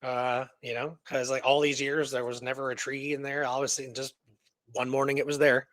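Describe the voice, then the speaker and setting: A man's voice: medium pitch, level tone, fairly quick, fairly bright tone, no echo. A man co-hosting a history talk show, reading details out with emphasis and adding casual conversational asides. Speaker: co-host presenting a true story. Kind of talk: conversational radio show narration, reading notes aloud with commentary.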